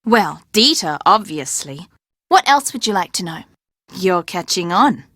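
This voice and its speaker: A woman's voice: lowish voice, sing-song, measured pace, a bit bright, no echo, studio quality, heavily acted. A woman playing a chatty, sassy young fashion model who likes to fib, in video game dialogue with a dramatic, emphatic delivery. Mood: gossipy, smug. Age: young adult.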